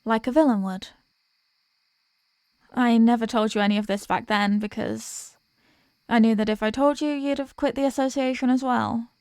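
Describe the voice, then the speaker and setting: A woman playing a remorseful villain and former hero, confessing to a lost love in a halting, earnest dramatic monologue. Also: fairly high pitch, steady tone, darkish tone, clear, resonant tone, dry recording, studio quality, natural voice. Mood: wistful. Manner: halting, earnest, pausing between phrases. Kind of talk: dramatic audio roleplay monologue, voicemail confession.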